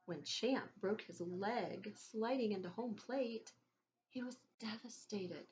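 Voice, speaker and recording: A woman's voice: mid-range voice, slow, darkish tone. Woman reading to children, noisy background. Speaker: teacher reading aloud to students. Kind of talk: expressive children's read-aloud with questions to listeners.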